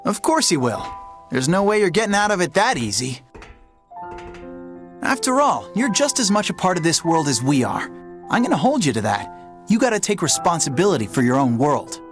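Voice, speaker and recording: A determined teenage boy hero in a video game. He talks in a blunt, casual, rallying way with lively intonation, over faint background music. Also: fairly low pitch, normal speed. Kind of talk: animated video game voice acting.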